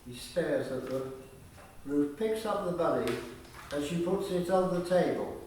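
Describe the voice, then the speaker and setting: A man's voice: on the high side, unhurried, darkish tone. A man reading scripted narration for a radio play. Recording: very echoey room, far from mic.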